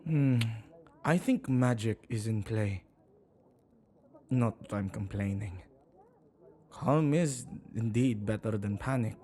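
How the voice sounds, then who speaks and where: A man playing a flustered, self-neglecting male witch in an ASMR audio roleplay, speaking intimately and haltingly to the listener. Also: mid-range voice, mellow. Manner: hesitant, halting, with sighs and murmured asides.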